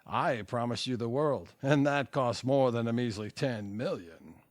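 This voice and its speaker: A man playing a smooth-talking, scheming old family lawyer in an audio drama, cajoling and self-satisfied. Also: mid-range voice, a little slow, no room sound, lightly acted. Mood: smug, cajoling. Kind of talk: audio theatre acting.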